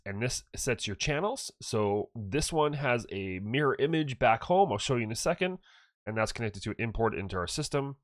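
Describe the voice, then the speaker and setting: A man's voice: lowish voice, no room sound, studio quality. A man with a stuffed-up nose, casually commenting on his own gameplay in a rambling, chatty way. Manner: chatty, rambling, self-correcting. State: stuffed up with allergies. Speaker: gaming channel host.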